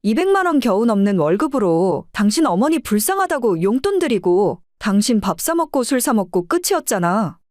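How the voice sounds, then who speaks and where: Audio drama wife, played by a woman: middle-aged, scornful, scolding, highish and very animated. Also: moderate pace, few pauses, no echo, studio quality. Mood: angry, scornful. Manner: confrontational, scolding.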